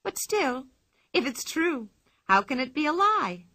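A bold, mischievous little girl from a storybook, played by a woman reader, with a high, bright voice. She is cheeky and fearless, playfully exclaiming and swooping widely in pitch. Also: big pitch swings, moderate pace, dry recording, heavily acted. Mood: cheeky, arguing. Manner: playful, exclaiming, mock-polite. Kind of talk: storybook character voice.

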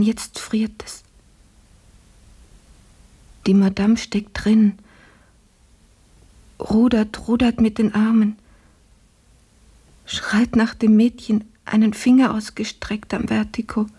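Radio play: frail, ailing young maid, self-effacing, flat and slow, breathy near-whisper. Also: female voice, mid-range voice, exaggerated voice. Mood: feverish, dreamy. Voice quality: husky, breathy, often falling to a whisper. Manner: slow, subdued, halting. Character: gravely ill young maidservant Marie, weary and self-effacing. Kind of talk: literary radio drama acting.

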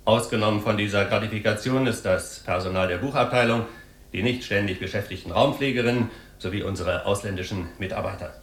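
An actor playing a formal, officious personnel manager in a radio play, making a stiff bureaucratic staff announcement. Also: male voice, on the low side, brisk, far from the mic, close to an everyday voice. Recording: echoey public address, noisy background.

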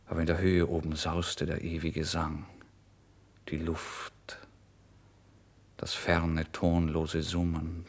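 A man narrating a literary first-person story in a brooding, expressive way, moving between hushed and emphatic delivery. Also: low voice, creaky. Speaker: audiobook narrator.

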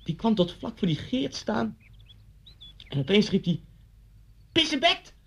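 A man's voice: sits high, sing-song, slow pace, vocal fry, a bit bright, slightly rough, heavily acted. An actor playing an intense, brooding man who confronts his old schoolmaster with vivid, pointed storytelling in a Dutch radio play. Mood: heated, mocking. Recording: dull lo-fi radio play recording.

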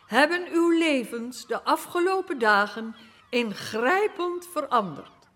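A solemn Dutch queen in a radio drama. Her voice is high and she speaks very slowly, with stately formality, as if addressing her stricken people. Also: fairly bright tone, exaggerated voice. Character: solemn Queen Wilhelmina addressing flood victims.

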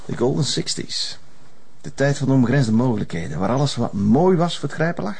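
An actor playing a sardonic, menacing avenger with a husky Flemish voice and a mocking, taunting manner. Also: male voice, mid-range voice, very animated, brisk, darkish tone, exaggerated voice. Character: menacing, sardonic male avenger holding a gunman's upper hand. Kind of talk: Flemish television crime drama acting.

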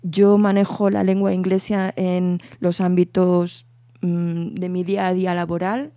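A woman with a low, mellow voice talks through her poetry project in a radio interview, circling back and restarting as she thinks. The sound is dry and close, with no room around her. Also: a little slow, dry recording. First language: Spanish. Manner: thoughtful, circling restarts, steady explaining. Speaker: poet guest.

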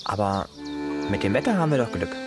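A man's voice: fairly low pitch, sing-song, fast, over loud music. An actor playing an earnest, curious young man who speaks politely and hesitantly in a naturalistic radio play. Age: young adult.

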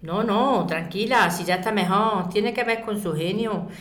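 A woman plays a chatty, curious neighbour in an audio drama. Her voice is medium in pitch with an accent from Spain. The room rings with clear echo. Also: measured pace, natural voice. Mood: chatty, reassuring. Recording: echoey room.

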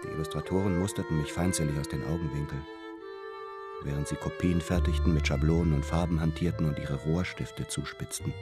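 Deep-voiced, brooding narrator man. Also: fairly even intonation, brisk, over loud music. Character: brooding, lovelorn returning man, former painter's apprentice. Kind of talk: literary first-person narration in German radio play.

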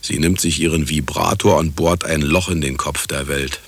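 An actor playing a cynical, self-justifying scientist and husband in a radio play, sardonic and condescending, with a gravelly voice. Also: male voice, a bass voice, steady tone, moderate pace, full character voice. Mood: sardonic, agitated. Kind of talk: radio play acting, monologue and dialogue.

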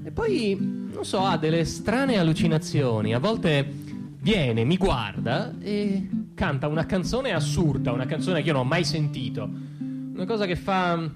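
A man acting a defensive, evasive ordinary father in a halting, repetitive theatrical monologue. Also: a little high, sing-song, loud music behind the voice. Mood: worried, bemused. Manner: hesitant, halting, repeating himself. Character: defensive ordinary middle-class father, evasive about his past. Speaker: stage actor performing a monologue. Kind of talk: theatrical comic monologue, as if answering questions.

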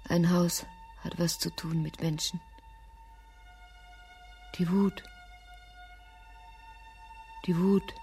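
A woman playing a grave, detached narrator in a radio drama, measured and deadpan, speaking in fragments. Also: fairly low pitch. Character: grave, detached narrator reciting scene and inner fury.

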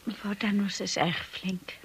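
An actress playing a shy, insecure young wife in a radio drama, earnest and hesitant. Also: female voice, mid-range voice, level tone, measured pace. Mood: polite, uneasy. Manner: hesitant, breathy, apologetic.

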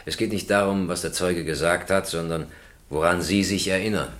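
An actor playing a stern, sceptical presiding judge in a radio play, formal and probing with dry irony. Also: male voice, low-pitched, fairly even intonation, normal speed, fairly bright tone.

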